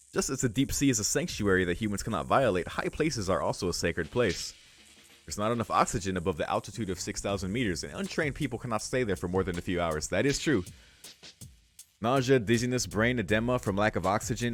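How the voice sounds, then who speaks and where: A man hosting a let's play, reading a visual novel aloud with casual, sweary asides. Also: fairly low pitch. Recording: music behind the voice. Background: game music.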